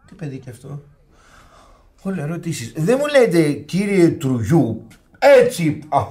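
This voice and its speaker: High-pitched, exasperated husband in farce. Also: male voice. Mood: sly, showy. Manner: theatrical, excitable, exclaiming. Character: exasperated husband and father, fussy, easily flustered. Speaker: actor in a radio comedy. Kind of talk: Greek radio theatre farce acting.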